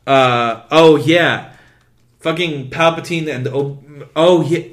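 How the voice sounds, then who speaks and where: A man co-hosting a casual podcast, speaking English as a second language, rambling excitably and swearing constantly. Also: on the high side, slow. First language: not English. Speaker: podcast co-host. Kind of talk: casual chat.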